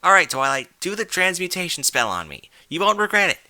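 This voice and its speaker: A bold young cartoon mare, acted out by a man, boastful and eager to push her idea. The voice is very bright and forceful, swinging in pitch, in a storybook reading recorded dry. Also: sing-song, a little fast, dry recording, full character voice. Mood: excited, urging. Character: brash, excitable young female cartoon pony, boastful and bold.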